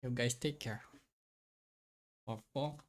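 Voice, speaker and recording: A streamer of unclear sex with a rough, strained voice, chatting casually and excitably while playing a game, giggly and given to repeating little phrases. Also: medium pitch, slow pace. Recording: music behind the voice.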